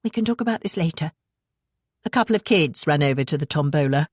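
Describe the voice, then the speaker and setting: A woman's voice: low-pitched, expressive, fast talker, a little mellow, husky, dry recording, studio quality. A woman voicing a tense, scheming wife and mother with a British accent, controlled and urgent, in an audiobook. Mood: evasive.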